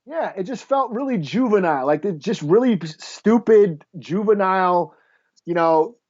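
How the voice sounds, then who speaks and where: A man's voice: sits high, lively intonation, moderate pace, darkish tone, no room sound. A man co-hosting a comic book podcast, giving blunt, profane, slangy opinions in casual chat on a dull lo-fi recording.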